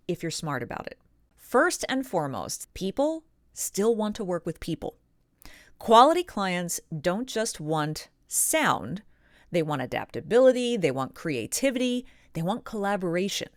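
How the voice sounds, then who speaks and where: A woman hosting a vlog as a professional voice actor, speaking straight to the audience in emphatic, deliberate phrases with pointed pauses. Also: on the low side, no echo, studio quality. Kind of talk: direct-to-audience scripted vlog monologue.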